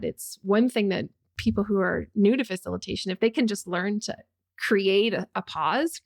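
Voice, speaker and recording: Woman host with gravelly voice. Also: medium pitch. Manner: friendly, asks follow-up questions, tag questions. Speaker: podcast host, facilitator. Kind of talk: conversational interview.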